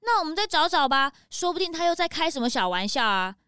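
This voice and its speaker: A woman voicing a skeptical, quick-questioning young sidekick in a children's audio drama, with soft music underneath. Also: sits high, big pitch swings, no room sound, studio quality, exaggerated voice. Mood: probing.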